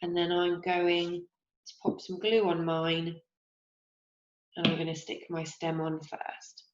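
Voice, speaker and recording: A young woman teacher with a slightly croaky voice, gently leading a craft lesson for young children and asking them questions as she goes. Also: lowish voice, level tone, a little hiss or hum. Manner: slow, encouraging, asks children questions. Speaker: primary school teacher. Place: home kitchen, indoors. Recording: roomy, dull lo-fi sound.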